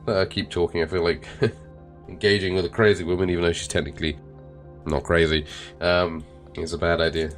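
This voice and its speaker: Deep-voiced, congested let's play host. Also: male voice, level tone, brisk, faint music behind. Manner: rambling, with fillers and swearing. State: nasal and congested, recovering from illness. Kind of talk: casual gameplay commentary and reading game text.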